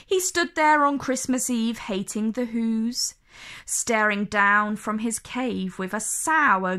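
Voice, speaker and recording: A woman reading a children's story aloud in an expressive, theatrical way, with dramatic pauses and emphasis. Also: on the high side.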